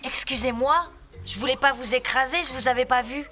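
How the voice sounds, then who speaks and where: A woman's voice: high-pitched, brisk, sharp tone. A woman voicing a kind, polite, peace-loving young lion cub in a French children's cartoon. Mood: puzzled, apologetic. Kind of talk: dubbed children's cartoon acting. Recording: music under the voice.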